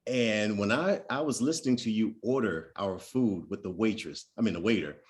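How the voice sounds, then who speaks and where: A man's voice: lowish voice, normal speed. A man hosting an interview, asking questions and giving short encouraging affirmations. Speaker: interview host.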